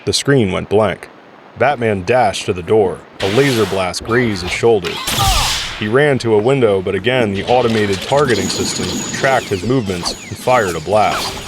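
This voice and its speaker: A man serves as narrator of an audio drama. His voice sits fairly low as he reads scripted prose, with a faint bed of background noise behind him. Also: moderate pace.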